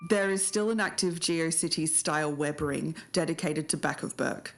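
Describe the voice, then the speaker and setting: A woman's voice: low voice, normal speed, slight background noise. An Australian woman in her thirties co-hosting and presenting a podcast. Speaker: podcast co-host.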